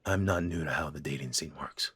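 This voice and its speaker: An actor playing a stiff, uneasy middle-aged father in an audio drama, talking in gruff, clipped lines. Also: extremely low pitch, fairly quick, bright, forceful tone, dry recording, exaggerated voice. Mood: defensive.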